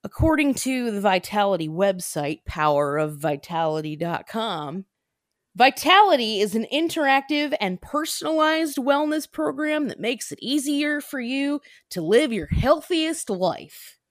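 A woman's voice: fairly high pitch, expressive, measured pace, dry recording. Chatty laughing woman podcaster, dry sound. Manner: chatty, laughs often, many fillers. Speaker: podcast co-host. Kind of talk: casual chat.

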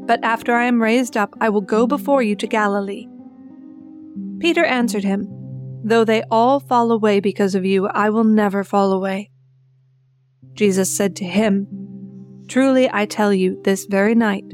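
A woman narrates scripture in a solemn, reverent way. The voice sits at a medium pitch and moves in measured phrases, with clear guitar music behind it. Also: a little mellow.

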